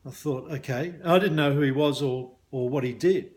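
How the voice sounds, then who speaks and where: A man's voice: medium pitch, moderate pace, darkish tone. Matey Australian man chatting casually to viewers. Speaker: business mindset coach and hypnosis practitioner.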